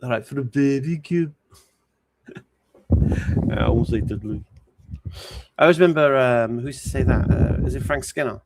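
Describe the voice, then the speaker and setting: A man's voice: mid-range voice, moderate pace, pauses often. A man hosting a casual live stream, reading out viewer questions and answering them bluntly. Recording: steady background noise.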